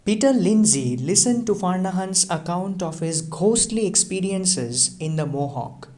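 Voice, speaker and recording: A storyteller reading a scripted ghost story in a dramatic, deliberate manner. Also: mid-range voice, expressive, warm tone. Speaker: storyteller, audiobook reader. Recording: music behind the voice. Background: music.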